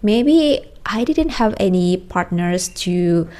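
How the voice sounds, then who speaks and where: Slow-spoken Indonesian woman vlogger. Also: fairly low pitch, animated, a little mellow. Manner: slow, careful, thoughtful with frequent pauses and restarts. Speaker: language learning vlogger. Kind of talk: casual talk to camera. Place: small indoor room.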